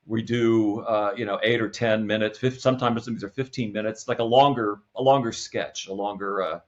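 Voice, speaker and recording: A man chatting casually as a podcast guest, in a halting, word-repeating way, on slightly dull audio. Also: on the low side, fairly even intonation. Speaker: podcast guest, comedy sketch producer. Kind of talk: casual chat.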